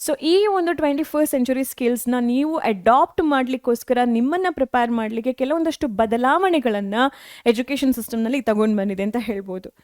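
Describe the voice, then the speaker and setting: A woman's voice: a little high, a little fast, a little mellow, no echo, studio quality. A woman with a Kannada-speaking South Indian accent, giving an educational lecture as an online teacher, explaining directly to students and mixing in English phrases.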